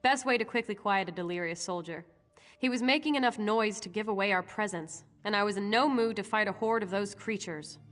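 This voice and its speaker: A woman playing a brisk, no-nonsense battlefield healer in a fantasy video game, speaking in a dry, matter-of-fact way. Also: medium pitch, a bit bright, close to an everyday voice. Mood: wry. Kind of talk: scripted video game dialogue.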